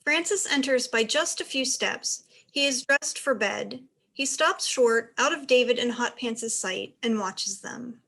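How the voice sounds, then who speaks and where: A woman's voice: fairly high pitch, lively intonation, a little slow, creaky, hardly any pauses, fairly bright tone. A woman narrator reading stage directions aloud as scripted narration.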